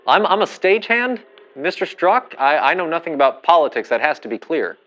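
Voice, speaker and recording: An actor playing a hesitant, curious, deferential working-class 1950s stagehand speaking haltingly over a telephone. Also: male voice, fairly high pitch, sing-song, fairly bright tone. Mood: nervous. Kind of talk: audio drama acting, telephone dialogue. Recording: dull telephone-style sound, faint music.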